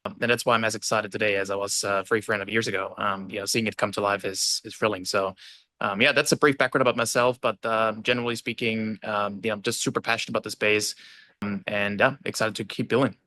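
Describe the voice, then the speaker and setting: A man's voice: on the low side, fairly even intonation, fast, bright, forceful tone, dry recording. A young man from Austria, a podcast guest on a video call, talking fluently with many fillers and tag questions. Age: young adult. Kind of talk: casual interview talk. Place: indoor room with plant, video call.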